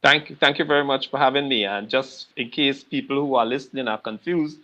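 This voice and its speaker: An older Trinidadian man speaks as a guest, quick and friendly as he explains things. His voice is bright and forward. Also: medium pitch, muffled recording. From Trinidad and Tobago. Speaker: guest speaker, retired radiologist. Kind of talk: casual conversation.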